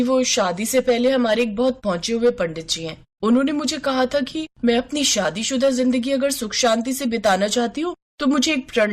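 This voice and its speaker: A woman's voice: on the high side, close to an everyday voice. A woman voicing a polite young newlywed daughter-in-law in a family story, earnest and pleading. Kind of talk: story character voice.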